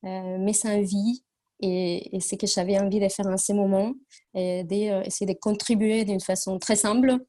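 Brief: woman, 40s, Argentine accent, mellow voice, hesitant, lots of pauses. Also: mid-range voice, fairly even intonation. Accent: Argentine Spanish. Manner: hesitant, many fillers and restarts while searching for French words. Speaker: guest entrepreneur. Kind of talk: casual interview talk.

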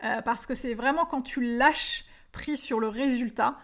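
A woman's voice: fairly high pitch, a little slow, a bit bright. A woman in her thirties from France hosting a solo podcast as a dating coach, talking eagerly to the listener with many fillers and restarts. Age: 30s.

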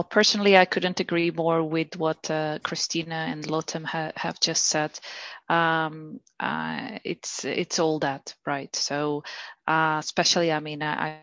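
A woman whose first language is not English, speaking as a panelist in a measured, reflective way with frequent pauses. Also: low-pitched, fairly even intonation, a bit bright, dry recording, dull audio. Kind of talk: panel discussion.

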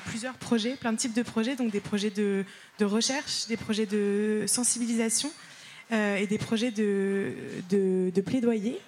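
A woman's voice: mid-range voice, warm tone, slight background noise. Hesitant young woman moderating a talk. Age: young adult. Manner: hesitant, many fillers and restarts. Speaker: conference moderator. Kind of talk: casual conference introduction. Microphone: handheld mic.

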